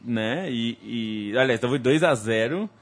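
Brazilian male pundit, mid-pitch, measured and explanatory, everyday voice. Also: expressive. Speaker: football pundit. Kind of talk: casual sports debate.

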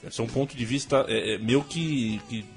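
A man's voice: medium pitch, moderate pace, faint music behind. A man from Brazil hosting a casual sports talk show, thinking aloud and restarting his phrases often. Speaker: host.

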